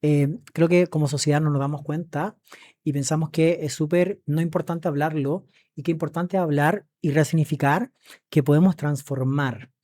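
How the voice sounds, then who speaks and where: High-voiced, mellow man hosting. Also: fairly even intonation, normal speed. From Chile. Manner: effusive, emphatic, addresses the audience. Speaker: podcast host. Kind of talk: conversational interview.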